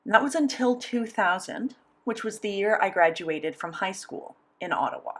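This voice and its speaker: A woman's voice: medium pitch, expressive, moderate pace. Woman voicing a signed interview. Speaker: sign language voice interpreter.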